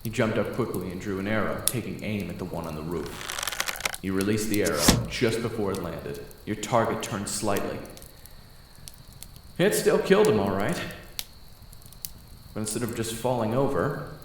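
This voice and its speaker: Low-voiced man narrating in an echoey room. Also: expressive, moderate pace, noisy background. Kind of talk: second-person roleplay narration. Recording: very echoey with music. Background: background music.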